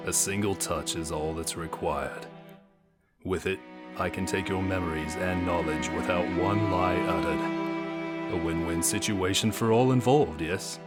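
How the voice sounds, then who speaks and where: A man playing a calm, menacing shapeshifter who steals faces, speaking slowly and musingly in an audio drama. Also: sits low. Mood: smug, calm. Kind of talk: fan audio drama acting. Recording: loud music under the voice.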